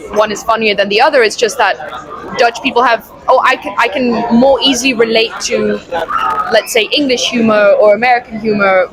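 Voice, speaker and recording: A woman's voice: fairly high pitch, rough recording. A young woman answering a street interview, chatty and laughing, often correcting herself. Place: outdoors on a busy city street. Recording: crowd noise outdoors. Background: crowd chatter.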